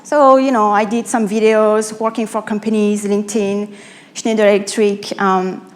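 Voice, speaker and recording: A woman from France with a French accent giving a live talk as an author and coach, explaining through rhetorical questions with pauses and self-corrections. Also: medium pitch, flat delivery. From Normandy, France. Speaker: speaker, author and pitch coach. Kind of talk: live public talk to an audience.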